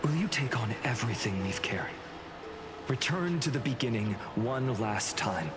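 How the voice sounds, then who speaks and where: An actor voicing a solemn, gentle and resolute male hero in a video game, with measured, earnest delivery and dramatic pauses. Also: mid-range voice, expressive, close to an everyday voice. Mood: earnest resolve. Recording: game audio under loud music.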